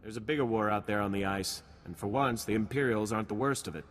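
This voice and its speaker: An actor playing a practical, decisive army lieutenant in a video game, in a businesslike briefing tone. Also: male voice, on the low side, fast talker, a bit bright, long reverb tail, a little hiss or hum, natural voice. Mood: satisfied, measured.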